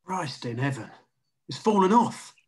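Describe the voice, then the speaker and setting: A man's voice: a little high, big pitch swings, unhurried, breathy edge, exaggerated voice. A man playing a tough, insistent colony security chief in a screenplay table read. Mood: shocked.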